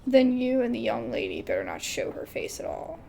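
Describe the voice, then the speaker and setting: A woman hosting a casual gaming video, reading game dialogue aloud haltingly and adding her own asides. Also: a little high, huge pitch swings, gravelly, mellow, slight background noise, rough recording.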